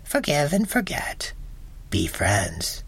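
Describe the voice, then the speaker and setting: A woman doing a slow, hushed, wise old abbess. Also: very deep voice, huge pitch swings, vocal fry, slightly rough, pitched below the performer's own voice, exaggerated voice. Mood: solemn.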